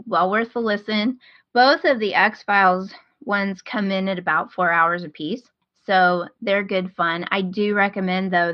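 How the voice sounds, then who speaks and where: A woman's voice: mid-range voice, dry recording, lo-fi sound. A woman hosting a podcast in casual chat, giving recommendations in an informal, enthusiastic way.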